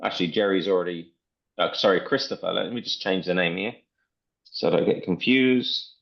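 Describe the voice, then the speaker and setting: A man presenting a software demo in an informal, step-by-step tutorial style. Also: deep voice, moderate pace, creaky, muffled recording. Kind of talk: casual screen-share tutorial walkthrough.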